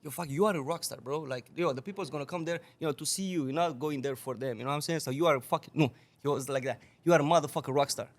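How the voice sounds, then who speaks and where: Lively, profane man from Romania. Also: a little high, rapid-fire, hardly any pauses. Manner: animated, swears a lot, laughs often. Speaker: guest bartender. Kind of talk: casual podcast storytelling.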